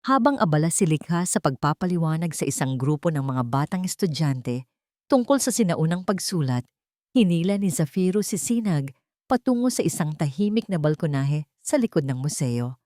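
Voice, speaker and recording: A woman's voice: sits low, lively intonation, normal speed, darkish tone, no room sound, clean studio sound. A woman reading a scripted dramatic story as its narrator.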